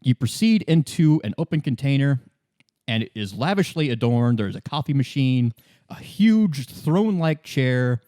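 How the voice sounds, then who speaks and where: A man narrates a tabletop roleplaying game as its game master. His voice sits at an average pitch, and he improvises casually, with halting, good-humored phrasing. The recording is dry. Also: animated, mellow, no echo. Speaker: game master, podcast host.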